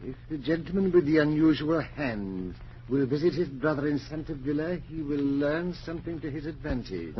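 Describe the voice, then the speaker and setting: An actor in a 1940s radio drama playing a deferential, uneasy manservant with a hesitant, anxious manner. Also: male voice, on the high side, animated, moderate pace, close to an everyday voice. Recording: noisy, muffled 1940s radio recording.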